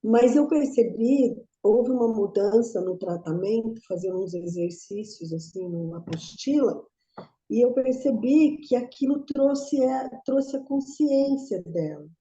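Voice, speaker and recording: A woman's voice: a little high, lively intonation, unhurried, dark tone, reverberant, lo-fi sound. A middle-aged woman in a study group asking a long question, rambling and restarting her sentences. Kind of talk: casual group discussion.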